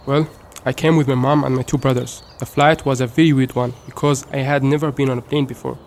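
A young man from Syria, speaking in an earnest, measured way as the one being asked questions. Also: medium pitch, flat delivery, a little mellow, slight background noise. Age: young adult. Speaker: interviewee.

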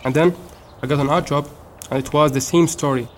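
A young man from Syria, speaking in an earnest, measured way as the one being asked questions. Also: fairly high pitch, fairly even intonation, a little hiss or hum. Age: young adult. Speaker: interviewee.

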